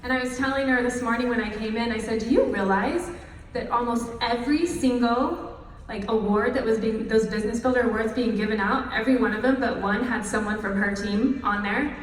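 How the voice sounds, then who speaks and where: Upbeat woman presenter, fairly high voice, recorded from a distance in a reverberant hall. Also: steady tone, brisk. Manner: upbeat, motivational. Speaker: session host. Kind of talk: presentation to an audience. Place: large echoey hall.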